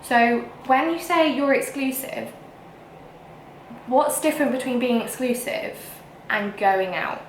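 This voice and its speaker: A woman's voice: on the high side, lively intonation, slow pace, reverberant, a little hiss or hum, low-quality recording. A young woman with a whiny voice, ranting frankly to camera in a casual vlog. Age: young adult. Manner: emphatic and frank, with frequent fillers. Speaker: vlogger.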